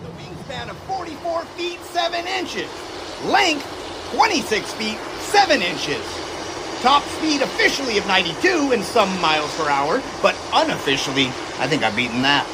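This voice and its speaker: An actor playing a confident, folksy 1920s American test pilot in an audio drama, jaunty and boastful, with old-fashioned wordy phrasing. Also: male voice, extremely high pitch, big pitch swings, moderate pace, heavy background noise, full character voice. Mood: proud. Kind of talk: audio drama dialogue and first-person storytelling.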